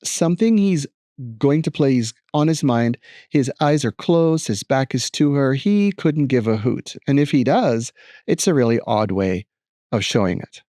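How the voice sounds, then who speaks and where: Animated, mellow-voiced male teacher reading aloud. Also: medium pitch, no room sound, studio quality. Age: middle-aged. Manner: expressive, deliberate emphasis with wry asides. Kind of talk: picture book read-aloud with commentary.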